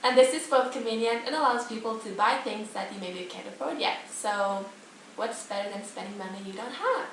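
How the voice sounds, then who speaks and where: Young woman, student co-presenter, loosely scripted talk, highish voice, distant microphone, faint noise, very roomy sound. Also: fairly quick, a bit bright. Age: teenager or young adult. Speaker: student co-presenter of a mock 1950s TV broadcast. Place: echoey indoor room. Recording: very echoey room, distant mic, faint noise.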